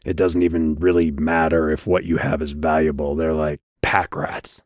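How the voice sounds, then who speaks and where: A man voicing a terse, unflappable male guide in a fantasy audiobook, speaking in a low-key, deliberate way. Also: flat delivery, no echo, clean studio sound. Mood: dry.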